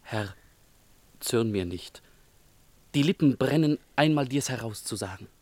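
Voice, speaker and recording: An actor playing a devoted young chamberlain in a German verse radio drama, speaking with measured, solemn deference. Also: male voice, medium pitch, lively intonation, a little mellow, no room sound. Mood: fervent, reverent. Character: devoted young chamberlain, reverent, earnest, eager to serve.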